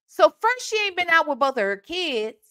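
A woman's voice: high voice, normal speed. A woman in her forties with a Southern US accent, hosting a reaction video from a small room at home and speaking into a desk microphone with emphatic, sassy commentary. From Ohio, United States. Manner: emphatic, sassy, animated talk. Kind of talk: casual commentary on a played call. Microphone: webcam with desk microphone.

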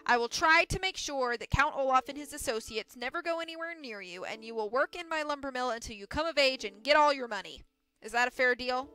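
A blustering storybook mill boss, played by a woman, bright and forceful. He is brusque and bossy, leaning hard on his words and thoroughly pleased with himself. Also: high voice, lively intonation, a little fast, clear, resonant tone. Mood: brisk, pushy. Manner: brusque, loud, emphatic. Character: blustering, bossy mill owner, a self-satisfied man.

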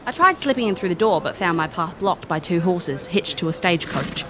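A woman's voice: fairly low pitch, lively intonation, brisk, background noise, lightly acted. A woman playing a cocky, wry private investigator in an audio drama, casual and confident. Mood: storytelling. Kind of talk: audio drama acting and narration.

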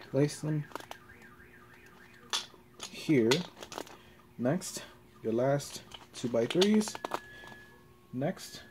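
A man giving a casual hands-on tutorial in halting, short step-by-step instructions. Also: medium pitch, warm tone, a little hiss or hum. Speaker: tutorial presenter. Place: carpeted floor in a room at home.

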